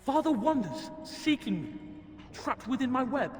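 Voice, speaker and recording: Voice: sits high, very animated, normal speed, darkish tone, soft background music. An actor voicing an imperious, threatening demon in a child's guise for a fantasy video game. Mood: cold, menacing. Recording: long hall reverb.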